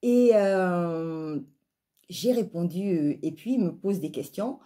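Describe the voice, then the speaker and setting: A woman with a southern French accent speaks in a lowish, husky voice. She delivers an opinionated monologue straight to her listeners, stressing points through repetition and rhetorical questions. The tone of the voice itself is soft-edged and dark. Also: animated, slow pace. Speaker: life coach and spiritual vlogger. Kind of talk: opinionated spoken monologue to viewers.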